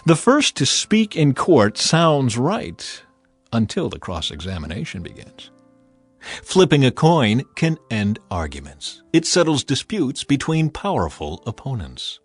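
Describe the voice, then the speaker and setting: Expressive man reading scripture over music. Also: mid-range voice, unhurried, breathy edge. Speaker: audio Bible narrator.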